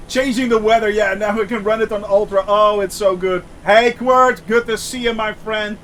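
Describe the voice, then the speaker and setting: A Dutch-accented man with a fairly high voice talks casually through a flight-sim live stream. He rambles and fills pauses with "uh" and "um" while answering his chat. A faint engine drone sits under his voice. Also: fairly even intonation, a bit bright, a little hiss or hum. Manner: chatty, many filler "uh"s, reads and answers chat.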